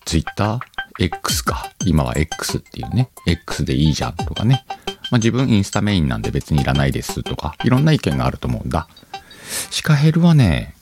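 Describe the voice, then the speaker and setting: A man's voice: very deep voice, big pitch swings, moderate pace. A man hosting a casual solo podcast, thinking aloud as he talks directly to his listeners. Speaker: podcast host. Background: background music.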